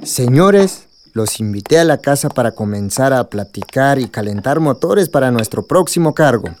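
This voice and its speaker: An actor playing a hospitable, earnest middle-aged village leader with a Mexican accent, formal and courteous, in a radio drama. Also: male voice, medium pitch, expressive, normal speed, a little mellow, slight background noise, natural voice. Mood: welcoming.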